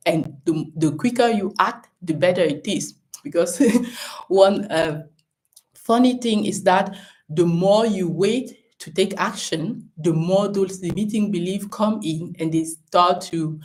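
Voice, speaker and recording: A woman from Cameroon speaks as a business coach in a relaxed live stream recorded at home. Her voice sits a little low. She encourages her viewers and thinks aloud, dropping in "um" and "right?" as she goes. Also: rough recording.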